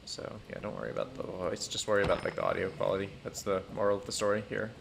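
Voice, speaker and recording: A man chatting casually on a gaming stream, thinking aloud in a halting, rambling way with dry, self-deprecating humour. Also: fairly low pitch, sing-song, vocal fry, background noise. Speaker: livestreamer playing a building game.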